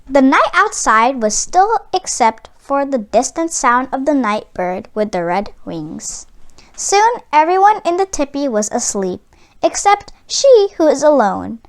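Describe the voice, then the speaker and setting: Female storybook narrator, high and animated in intonation, with an unhurried read-aloud pace for children. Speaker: storybook reader.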